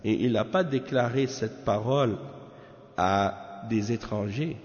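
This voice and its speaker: A man from Canada giving a measured religious lesson on a text, often tagging his sentences with questions. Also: on the low side, a little mellow. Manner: measured, peppers talk with tag questions. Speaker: religious teacher. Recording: echoey hall, dull lo-fi sound.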